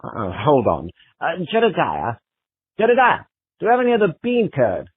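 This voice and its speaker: An actor playing an earnest, easily baffled 1940s general store keeper, in folksy, hesitant, polite old radio comedy style. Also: male voice, a little high, huge pitch swings, normal speed, husky, no echo, full character voice. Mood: helpful, puzzled. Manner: hesitant, folksy, formally polite shop talk. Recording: muffled tinny old telephone line.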